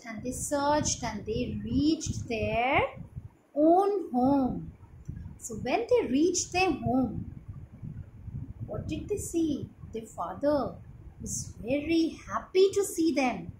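A young woman with an Indian accent tells a children's story expressively, often putting questions to her young listeners as a teacher would. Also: sits high. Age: young adult. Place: small room at home, indoors. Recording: roomy echo with clear background noise.

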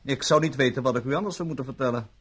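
An actor playing a wry, urbane jailed gambler in a radio play, dry and articulate. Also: male voice, mid-range voice, very fast, a bit bright, slightly rough. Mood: resigned. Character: wry, urbane male gambler held in jail. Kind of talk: Dutch radio play acting and narration.

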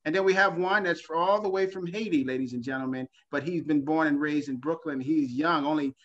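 Casting: male host, fairly high voice, lively showman patter, muffled lo-fi video call sound. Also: brisk. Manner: upbeat, laughing, showman-like introductions. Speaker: talk show host. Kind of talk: online talk show hosting. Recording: dull lo-fi video call audio.

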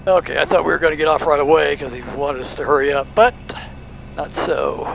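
A man's voice: fairly high pitch, fairly quick, gravelly, lo-fi sound. A man, a pilot, giving casual, explanatory cockpit commentary and making radio calls. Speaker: private pilot and video host. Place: small aircraft cockpit. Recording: steady aircraft engine noise.

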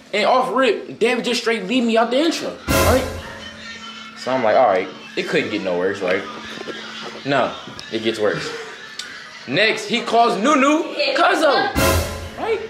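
A young man recounts a grievance, his voice fairly high and swinging widely. The room rings with echo. Loud music plays behind him. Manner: aggrieved, animated. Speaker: friend. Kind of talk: casual storytelling.

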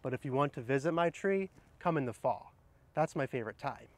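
A middle-aged man speaking outdoors, sharing picture books in a gentle, explanatory way and addressing viewers directly. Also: mid-range voice, expressive, a little fast. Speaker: horticulturist presenting children's books. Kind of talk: picture-book read-aloud and casual book recommendations. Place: outdoors under trees in an arboretum garden.

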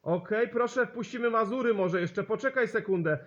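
High-voiced sociable man, dull lo-fi sound. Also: normal speed. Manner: sociable, repeats call signs and sign-offs. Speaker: CB radio operator and channel host. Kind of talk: amateur radio contact chat, exchanging signal reports. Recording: dull lo-fi radio-contact recording.

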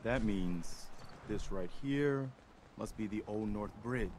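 An actor playing an earnest, hopeful militia leader in a video game, sincere and measured. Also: male voice, on the low side, a little mellow, a little hiss or hum. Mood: warm hope.

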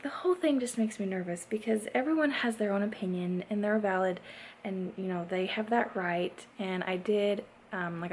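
A woman's voice: on the high side, darkish tone. A woman in her twenties chatting casually to camera at home, in a rambling, hesitant way. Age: 20s. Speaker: vlogger. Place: indoors at home. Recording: clear background noise, home room.

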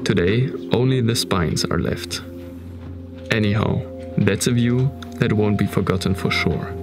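Low gravelly German-accented male narrator. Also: a little slow, over loud music. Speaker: narrator of urban exploration videos. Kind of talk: scripted documentary narration.

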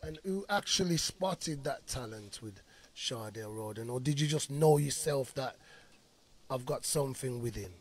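A man hosting an online radio show, often half-singing his lines in a lively, sing-song way, with music playing behind him. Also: mid-range voice, moderate pace, slightly rough.

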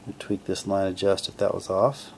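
A man casually narrating his radio restoration at a home workbench, relaxed and full of fillers as he thinks aloud. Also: low-pitched, normal speed, a little hiss or hum. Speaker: hobbyist restoring a vintage radio.

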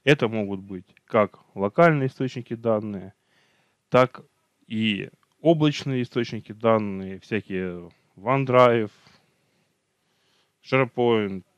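Low-voiced man giving a slide lecture. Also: smooth, no echo, dull audio. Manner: unhurried, many fillers and self-corrections. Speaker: technical trainer. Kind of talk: online training lecture over slides.

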